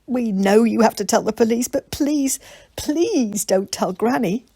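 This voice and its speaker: A woman's voice: a little high, expressive, unhurried, gravelly, dark tone, slightly rough, no echo, voice pushed up, exaggerated voice. A woman voicing a guilty, pleading granddaughter in a children's story.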